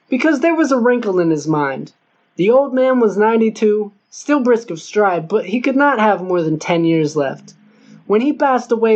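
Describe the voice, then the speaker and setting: A man's voice: high-pitched, darkish tone. Male audiobook narrator for scripted fiction, with a muffled, lo-fi recording. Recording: dull lo-fi recording.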